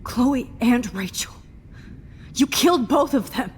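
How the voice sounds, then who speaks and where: A woman's voice: fairly high pitch, animated, slow, a little mellow, in a large hall, a little hiss or hum. A woman voicing a young female photography student in a video game, earnest and defiant.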